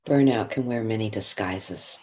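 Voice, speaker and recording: A man leading a guided meditation in a gentle, unhurried way, with long pauses between phrases. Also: lowish voice.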